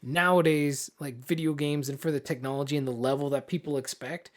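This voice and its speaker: A man's voice: fairly high pitch, fairly even intonation, brisk. A man co-hosting a podcast, chatting informally and peppering his talk with "like". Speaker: podcast co-host. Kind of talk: casual chat about games and films.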